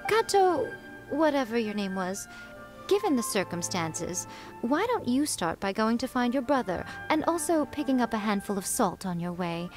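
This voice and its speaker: A woman's voice: a little high, big pitch swings, moderate pace. A woman voicing a sly, teasing female shrine priestess in a video game, who speaks with playful condescension. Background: game music.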